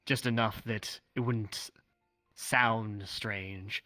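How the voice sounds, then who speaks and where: Man playing bright-voiced, pragmatic game trapper. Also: on the low side, fairly even intonation, extremely slow, no room sound, faint music behind, full character voice. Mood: pragmatic, pondering. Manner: analytical, thinks aloud with hedged reasoning. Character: knowledgeable, pragmatic male trapper for hire. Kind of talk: improvised in-character roleplay in a social VR game.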